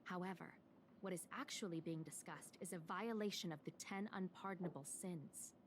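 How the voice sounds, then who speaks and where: A woman's voice: lowish voice, normal speed, background noise, natural voice. An actress playing a composed, stern female chief counselor in a fantasy video game, speaking formally and precisely. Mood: stern, grave. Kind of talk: scripted video game dialogue. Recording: game music behind the voice.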